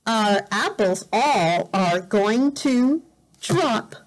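A middle-aged woman teacher reads a picture book aloud to young learners, explaining simply, deliberately and expressively, repeating words. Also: medium pitch, expressive. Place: indoor room at home.

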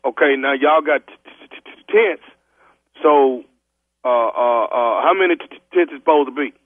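A man putting on a stammering party rental clerk in a comic prank call, halting, repetitive and persistent. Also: medium pitch, dry recording, natural voice. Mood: patient, halting. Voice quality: heavy stutter. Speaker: prank caller. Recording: tinny, husky phone line.

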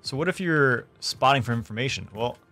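A young man hosting a gaming tutorial, explaining things clearly step by step from his streaming room. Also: medium pitch, animated, fairly quick, bright, forceful tone, faint music behind. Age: young adult. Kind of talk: tutorial narration. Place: small indoor room streaming setup.

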